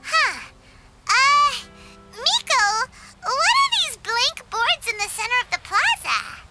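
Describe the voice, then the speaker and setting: Tiny, squeaky excitable girl companion. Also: slow pace, over music, full character voice. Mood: curious, excited. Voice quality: squeaky, childlike. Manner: exclaiming, speaks of herself in third person. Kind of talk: video game voice acting.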